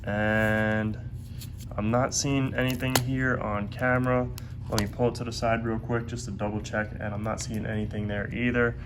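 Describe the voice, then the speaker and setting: A stuffed-up, congested man talks viewers through his task at a home desk in a steady, methodical way. His voice sits low and barely rises or falls. A clear layer of noise runs under the recording. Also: moderate pace. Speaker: coin roll hunting video host. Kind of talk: casual hands-on commentary. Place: at a desk indoors.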